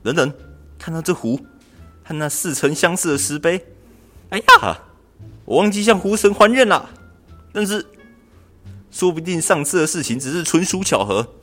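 A young man acting a high-voiced, anxious clerk. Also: animated, moderate pace, long pauses, sharp tone. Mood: carefree, puzzled. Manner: exclamatory, pleading. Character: anxious, cheeky, lazy male government clerk in ancient China. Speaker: student voice actor in a radio drama. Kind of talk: amateur radio drama acting. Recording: music under the voice.

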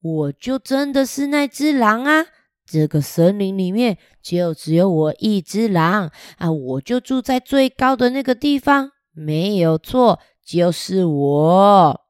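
A woman voicing a mild-mannered male big bad wolf in a children's story, slow, sheepish and plaintive. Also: medium pitch, darkish tone, no echo, studio quality, lightly acted. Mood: exasperated.

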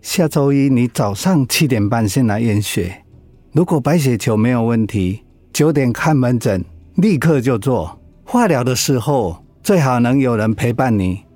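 An actor playing a calm, matter-of-fact cancer doctor in a radio drama, measured and clinical but reassuring. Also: male voice, mid-range voice, no echo, soft background music.

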